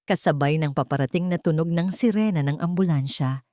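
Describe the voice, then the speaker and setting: A woman narrates a romantic drama in a fairly low voice. Her delivery is scripted and dramatic, the voice of a storyteller. The sound is dry, with no room around it. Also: fairly quick, dark tone, dry recording.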